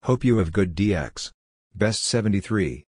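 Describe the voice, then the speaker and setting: Man, low pitch, instructional read-out, short measured phrases, careful enunciation. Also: animated, a little mellow, breathy edge, no echo, clean studio sound. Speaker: Morse code practice narrator. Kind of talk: scripted phrase read-out for language drill.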